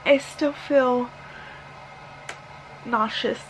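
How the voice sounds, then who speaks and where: A woman in her thirties giving a casual, rambling vlog update, halting and correcting herself, sounding tired and a little hoarse. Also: fairly high pitch, fairly even intonation, slight background noise, low-quality recording. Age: 30s. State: slightly hoarse, tired, sore throat. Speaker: book vlogger.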